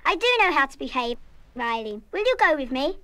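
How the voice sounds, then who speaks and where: A woman's voice: high voice, very animated, a little fast, pushed and bright, full character voice. An actress playing a headstrong, proud young British schoolgirl in a radio play, with an emphatic, stubborn manner. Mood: indignant, determined. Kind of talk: full-cast radio play acting.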